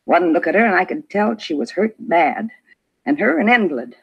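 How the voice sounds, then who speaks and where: A husky, creaky-voiced neighbor woman gives her witness account in homespun, clipped phrases. She is rattled and talkative, on a dull vintage radio crime drama recording. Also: fairly low pitch, animated, moderate pace, heavily acted. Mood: shocked, indignant. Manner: plain folksy speech in clipped phrases. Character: shaken, talkative older female neighbor giving a witness statement. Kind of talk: old-time radio crime drama acting.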